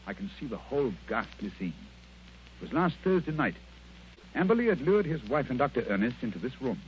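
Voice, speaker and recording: An actor playing a keen, commanding detective in an old radio drama, with a clipped, authoritative delivery. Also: male voice, medium pitch, very animated, brisk, close to an everyday voice. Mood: grave, hushed. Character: brisk, keen male detective, commanding and coolly exact. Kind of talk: 1940s radio drama acting. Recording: dull, noisy old radio recording.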